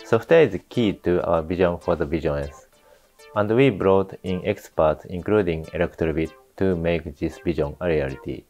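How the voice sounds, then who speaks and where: Middle-aged executive, very low voice, measured, faint music under. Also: male voice, a little mellow. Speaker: company executive. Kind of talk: interview statement. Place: bright office by a window.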